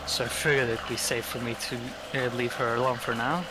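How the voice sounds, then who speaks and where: A man's voice: mid-range voice, steady tone, a little fast, heavy background noise, close to an everyday voice. A man voicing a weary, stoic teenage boy who narrates his thoughts in a game. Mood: regretful. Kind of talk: scripted visual novel narration. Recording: music playing behind the voice.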